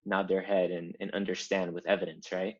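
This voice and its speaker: Low-voiced Cuban-born man telling his story. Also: brisk, highs cut off. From Cuba. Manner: animated storyteller, builds to emphatic points. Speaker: guest, design founder. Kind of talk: conversational interview.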